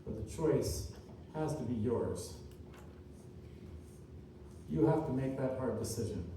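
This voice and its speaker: A man giving an inspirational speech to a room, earnest and deliberate, speaking in short, emphatic, repeated phrases. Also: medium pitch, expressive. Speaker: motivational speaker, author. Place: large indoor room at a seminar. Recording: distant mic, echoey room, faint noise.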